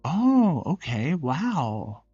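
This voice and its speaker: A man's voice: on the high side, sing-song, drawn-out words, mellow, husky, no room sound, dull audio, faint music behind, pitched above the performer's own voice, exaggerated voice. A man voicing a friendly, chatty female receptionist in a visual novel. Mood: friendly, surprised.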